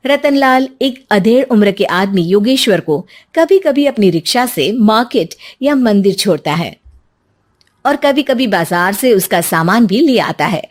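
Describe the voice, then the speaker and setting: A woman's voice: medium pitch, lively intonation, measured pace. A woman narrating a scripted story as its storyteller.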